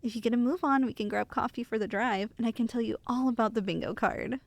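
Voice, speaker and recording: A woman's voice: on the high side, fast, creaky, no echo. A young woman playing an affectionate, chatty girlfriend who reassures her partner in an intimate ASMR roleplay. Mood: affectionate, excited. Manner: playful, reassuring, storytelling to one listener. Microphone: close studio mic.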